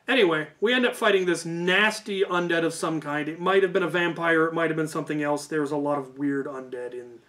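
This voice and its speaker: A man's voice: a little high, steady tone, fairly quick, few pauses, fairly bright tone. A man telling stories casually as a video host, in an informal, hedging way that often corrects itself. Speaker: YouTube host. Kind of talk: casual rambling storytelling.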